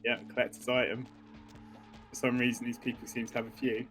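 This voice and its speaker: A man's voice: medium pitch, flat delivery, a little fast. Dryly joking man, casual game banter over music. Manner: dry joking, swears casually. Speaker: gaming video co-host.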